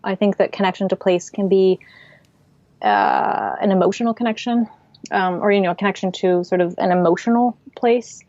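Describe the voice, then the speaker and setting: A woman's voice: mid-range voice, fairly even intonation, normal speed, a little mellow, no room sound, dull audio. A woman from the Pacific Northwest who grew up speaking Swedish at home, talking reflectively as a podcast guest, with frequent fillers. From Pacific Northwest, United States. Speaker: podcast guest, writer and artist. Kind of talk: casual interview conversation.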